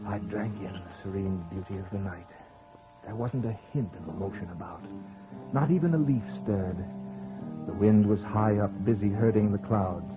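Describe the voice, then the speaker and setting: Through a narrow, tinny vintage radio sound with music behind it comes a man acting as a husband recounting an eerie night. His voice sits fairly low and he speaks in deliberate, literary phrases with theatrical weight, as in an old horror broadcast. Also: lightly acted. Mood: serene, wistful. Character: happily married man narrating his eerie night walk, growing unnerved. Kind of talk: old-time radio horror acting, dramatic first-person narration.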